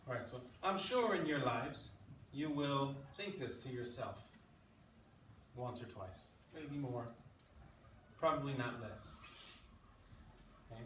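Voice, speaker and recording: A man's voice: mid-range voice, takes it slowly, pauses often, fairly bright tone, far from the mic. A man teaching a class as an English teacher, explaining things and checking in often with short questions. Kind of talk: classroom teaching and read-aloud. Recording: echoey classroom, off mic, background noise.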